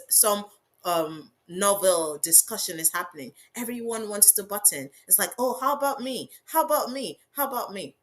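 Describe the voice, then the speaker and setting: A woman's voice: lowish voice, a bit bright. Emphatic woman panelist with a strong voice. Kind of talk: panel discussion remarks.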